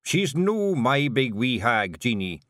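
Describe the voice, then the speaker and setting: A small but hard-bitten fairy chieftain speaking in a thick Scottish brogue, gruff and mournful, as a man puts him on. He drags his words slowly with a heavy heart, rising into sharp temper. Close, dry storybook reading. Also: medium pitch, sing-song, dry recording. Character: tough little male fairy chief, gloomy.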